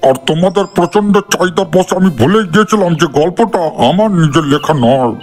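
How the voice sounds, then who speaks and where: A man's voice: high-pitched, lively intonation, fairly quick, darkish tone. A man voicing a bossy old grandfather in a comedy cartoon, addressing viewers. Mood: earnest. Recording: voice over background music.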